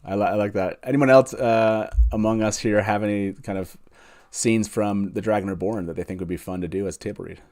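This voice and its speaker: A man's voice: fairly low pitch, steady tone, brisk, darkish tone. Casual male stream host, rambling a little. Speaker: host of a fan live stream. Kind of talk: casual chat.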